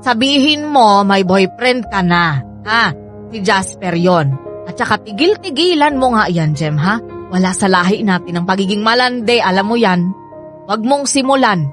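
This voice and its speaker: A woman's voice: mid-range voice, lively intonation, a bit bright, soft background music, lightly acted. An actress playing a nagging middle-aged mother with a Filipino accent, exclamatory and scolding, in a radio drama. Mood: shocked, scolding. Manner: exclamatory, scolding, drawn-out emphasis. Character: middle-aged nagging mother, bossy, old-fashioned, meddling.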